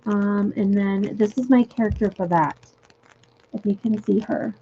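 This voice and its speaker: A young woman chatting casually with viewers on a livestream from home, giggly and full of fillers, her voice going a little hoarse. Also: medium pitch, steady tone, a little slow, muffled recording, a little hiss or hum. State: losing her voice. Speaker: livestream host. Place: home room.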